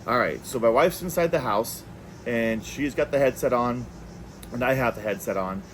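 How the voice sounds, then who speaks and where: A man with a medium-pitched voice presents an unscripted tabletop product review. He is conversational and works out his thoughts aloud in a casual way. A noticeable steady background noise sits behind his voice. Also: moderate pace. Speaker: product reviewer, video host.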